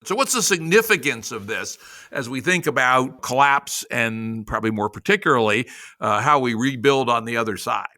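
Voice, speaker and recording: A man's voice: mid-range voice, expressive, moderate pace, sharp tone. A man hosting a podcast interview, asking direct questions. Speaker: podcast host.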